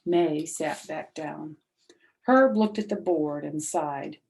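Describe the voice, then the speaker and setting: A woman reads a children's story aloud. Her voice sits a little low, and she tells it in an animated, read-aloud style. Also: slow, creaky. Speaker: storybook reader.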